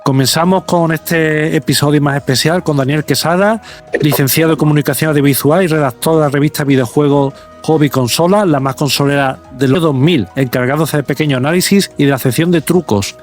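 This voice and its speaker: A man's voice: on the high side, fairly quick. A man presenting as a podcast host, reading a scripted introduction. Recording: music bed behind the voice. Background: background music.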